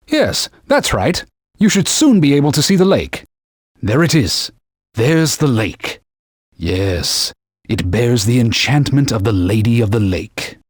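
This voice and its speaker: A man voicing a haughty, pompous talking sword that guides and scolds its wielder in a formal, stern manner, with a slightly husky voice. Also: no echo, studio quality, full character voice. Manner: pompous, formal and scolding, turns shrill when alarmed. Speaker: sidekick guide. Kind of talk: video game voice clips. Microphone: dry studio mic.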